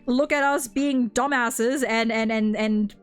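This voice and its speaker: A woman with a fairly high voice hosts a casual game discussion. She rambles, doubles back on her words and swears offhandedly. Music plays behind her. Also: steady tone, takes it slowly, smooth. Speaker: host.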